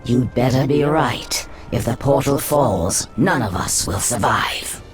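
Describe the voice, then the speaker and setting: An actress playing a regal, mysterious serpentine sorceress in a fantasy game, speaking formally and with portent. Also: female voice, a bass voice, lively intonation, takes it slowly, exaggerated voice. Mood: grave. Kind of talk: dramatic fantasy game acting. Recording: game music under the voice.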